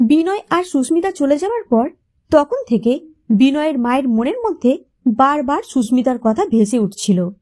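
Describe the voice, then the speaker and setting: High, mellow woman storyteller. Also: expressive, moderate pace. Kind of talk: emotive scripted story narration.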